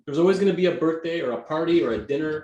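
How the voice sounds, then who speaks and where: A man's voice: fairly high pitch, rapid-fire, darkish tone, highs cut off. A Canadian-born man whose first language was Greek, talking energetically and emphatically as a guest in a casual interview. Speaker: guest, real estate team leader. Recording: echoey room.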